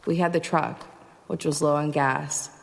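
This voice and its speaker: A low-pitched female voice with a long reverberant tail. A woman plays a weary, determined survivor of an invasion, telling her own story as audio drama and slipping into dialogue. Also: fairly even intonation, unhurried, vocal fry, slight background noise. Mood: weary, uneasy. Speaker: narrator and lead actor. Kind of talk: first-person audio drama narration with acted dialogue.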